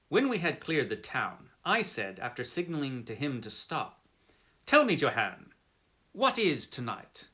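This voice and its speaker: A man's voice: medium pitch, sharp tone. A man reading a classic horror story aloud in a melodramatic, theatrical style. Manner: theatrical, dramatic narration with wide swings in emphasis.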